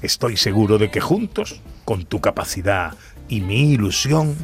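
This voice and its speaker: Radio play lead for a male actor: idealistic young Spanish-bookseller, lowish voice, eager, halting delivery, faint music bed. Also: expressive. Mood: hopeful, dreamy. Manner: eager, halting with repeated phrases. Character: earnest, idealistic young small-town bookseller, man in his twenties. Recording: music under the voice.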